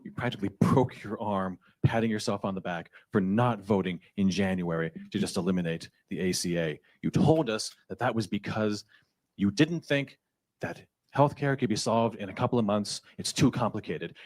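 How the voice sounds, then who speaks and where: A man's voice: on the low side, darkish tone. A man speaking as a constituent at a town hall, angry, sarcastic and confrontational, into a handheld microphone. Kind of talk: public comment speech. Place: public meeting hall.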